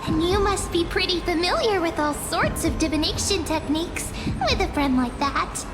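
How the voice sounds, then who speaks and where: Very high, cutesy young girl. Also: normal speed, music in the background. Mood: earnest, thoughtful. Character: playful, dreamy young girl, coy and earnest. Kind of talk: anime-style video game voice acting.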